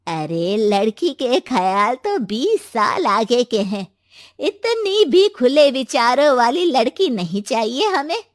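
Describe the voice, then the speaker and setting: A woman's voice: on the high side, very animated, slow pace, no room sound, clean studio sound. A woman voicing a demanding middle-aged mother-in-law in a cartoon, nagging and ordering her daughter-in-law around. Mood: bossy. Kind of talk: cartoon voice acting.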